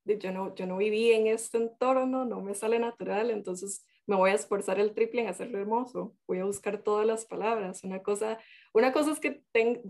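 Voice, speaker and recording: A woman's voice: medium pitch, a little fast, darkish tone. A woman in a casual book discussion who thinks aloud and often restarts her words. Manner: thoughtful, hesitant, repeats words.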